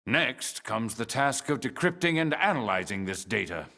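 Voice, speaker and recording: A man playing a middle-aged director general in a video game, speaking in a measured, formal, authoritative way. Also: medium pitch, big pitch swings, sharp tone. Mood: formal, pleased. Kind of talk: video game cutscene acting.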